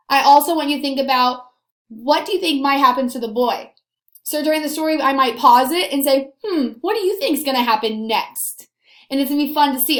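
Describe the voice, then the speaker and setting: High-pitched woman teaching kids, echoey room. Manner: slow, deliberate emphasis with questions to pupils. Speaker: elementary school teacher. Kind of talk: read-aloud lesson for young children. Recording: roomy, echoey sound.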